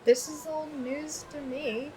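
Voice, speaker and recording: An actress playing an earnest, loyal member of a self-help group in naturalistic audio fiction, assertive and a little preachy. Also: female voice, high voice, steady tone, takes it slowly, a little mellow, slight background noise. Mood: surprised.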